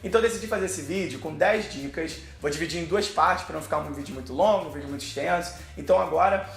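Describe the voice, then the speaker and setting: A man's voice: a little high, fast, vocal fry, roomy sound. A Brazilian man with a Brazilian Portuguese accent, teaching English pronunciation in a video lesson, posing questions and then answering them.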